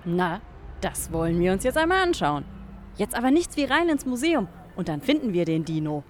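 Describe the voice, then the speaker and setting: A woman acting a curious, playful and slightly sceptical young companion in a youth radio play, expressive and teasing. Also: mid-range voice, moderate pace, natural voice. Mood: sceptical, game. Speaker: actress in an educational audio drama.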